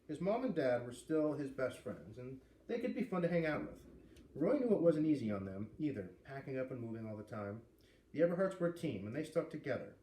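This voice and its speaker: A man reading a children's novel aloud in an expressive storytelling style. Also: medium pitch, fairly quick. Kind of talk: read-aloud storytelling with acted dialogue. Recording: roomy, distant mic with light noise.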